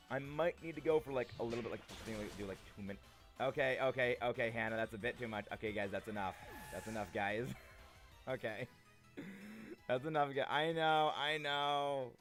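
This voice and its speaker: A young man chatting playfully with his stream viewers, a little gravelly and prone to repeating himself. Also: mid-range voice, fairly bright tone. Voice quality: slightly gravelly. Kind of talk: casual live-stream chat with viewers.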